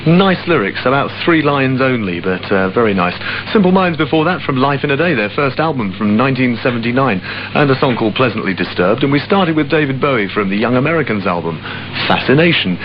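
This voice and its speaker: A man's voice: mid-range voice, big pitch swings, brisk, noisy background. A man presenting a late-evening radio show from a ship's studio, easygoing and chatty with small hesitations. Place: offshore radio ship studio. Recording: dull AM radio aircheck with music under.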